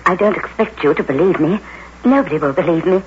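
A woman's voice: fairly low pitch, fast, darkish tone, natural voice. A woman acting an anxious, haunted innkeeper's wife in a dramatic, fretful, old radio drama style. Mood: brooding, haunted. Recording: noisy, muffled old radio recording.

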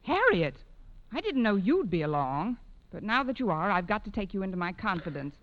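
An actress playing a wry, brisk woman schoolteacher in a confiding manner, in vintage radio comedy style. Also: medium pitch, sing-song, dull audio, natural voice. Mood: conspiratorial, brisk. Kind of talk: 1940s-50s radio comedy acting. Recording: old radio recording, faint studio audience.

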